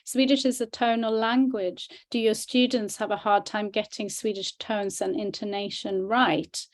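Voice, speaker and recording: A woman's voice: mid-range voice, steady tone, normal speed. A woman co-presenting a language question-and-answer session as a teacher, speaking in a chatty, informal, demonstrative way.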